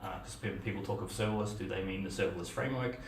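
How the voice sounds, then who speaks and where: A man's voice: deep voice, fast talker, a little hiss or hum. Australian man talking in an echoey room. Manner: conversational, many fillers and restarts. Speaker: meetup speaker. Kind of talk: conference talk. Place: dim meetup room with a projector screen. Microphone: far camera. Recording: echoey room, distant mic.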